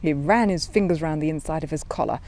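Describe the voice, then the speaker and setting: A woman storyteller narrating a children's audiobook in an expressive style. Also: low-pitched, quick pace, slight background noise.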